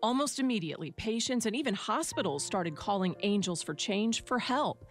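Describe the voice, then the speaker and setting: Female American reporter, mid-pitched, scripted human-interest narration over faint music. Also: lively intonation, moderate pace. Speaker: news reporter. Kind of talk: scripted news feature narration. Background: music under narration.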